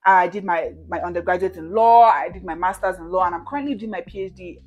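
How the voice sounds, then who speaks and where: A woman's voice: on the low side, quick pace, dull audio. A woman in her twenties from Nigeria, chatting casually to camera as she answers viewer questions, with frequent "you know" and "right?" and easy laughs. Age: 20s.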